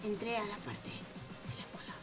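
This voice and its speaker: A woman's voice: mid-range voice, normal speed. A young woman with an Argentine Spanish accent, chatting playfully and expressively with a friend as she plays a game. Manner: expressive, exclamatory, teasing. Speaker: gaming YouTuber. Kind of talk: playful gameplay chat with a friend. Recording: voice over background music. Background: background music.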